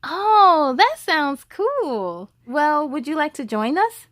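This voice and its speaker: A woman voicing a bubbly, confident little schoolgirl from a children's storybook, eager and excited. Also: high-pitched, takes it slowly, no room sound, voice pushed up, heavily acted. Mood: upbeat.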